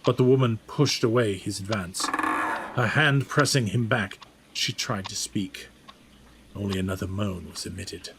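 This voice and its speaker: A man's voice: on the low side, unhurried, heavy background noise. A man giving dramatic, scripted story narration.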